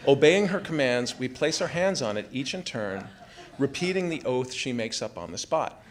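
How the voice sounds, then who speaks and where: A man in his fifties with an American accent reads a scripted first-person story live in a theater, in a measured storytelling way. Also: medium pitch, lively intonation, crowd noise. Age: 50s. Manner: measured storytelling delivery, acting out quoted family dialogue. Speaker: writer reading his own personal essay. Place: small theater stage before a live audience. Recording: live theater recording with background noise. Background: room noise and ambience.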